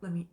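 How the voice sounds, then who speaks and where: A woman with a cold and a hoarse voice, teaching in earnest to camera and reassuring viewers by repeating key points. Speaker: attachment style coach.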